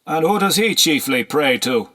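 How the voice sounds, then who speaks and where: A man's voice: fairly high pitch, lively intonation, normal speed, bright, forceful tone, pitched above the performer's own voice. A man reading a storybook, voicing a cold, sly nobleman on horseback who speaks in a brusque, commanding, probing way. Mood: sly interest.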